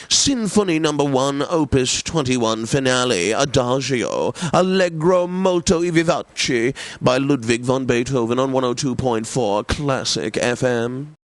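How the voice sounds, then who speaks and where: An actor playing a wealthy, self-satisfied, effete classical radio DJ in a video game, with affected, precise enunciation and theatrical announcing. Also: male voice, mid-range voice, no room sound. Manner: pompous, affected, precise enunciation, savoring words.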